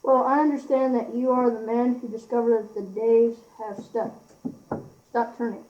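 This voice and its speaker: Teenage girl as high-voiced polite newspaper reporter. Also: little pitch movement, normal speed, lightly acted. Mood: polite, inquiring. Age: young teenager. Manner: courteous, flustered. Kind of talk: amateur school radio play. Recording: dull amateur recording.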